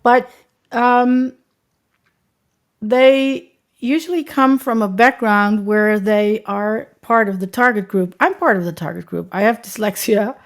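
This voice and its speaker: A woman's voice: medium pitch, fairly even intonation, a little slow. Chatty Dutch-accented woman. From Netherlands. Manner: chatty, rambling, frequent ums. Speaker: guest, accessibility consultant. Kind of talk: conversational podcast interview.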